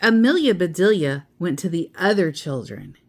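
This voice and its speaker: A woman storyteller reading a children's book aloud in an expressive narrating style. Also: on the low side, normal speed.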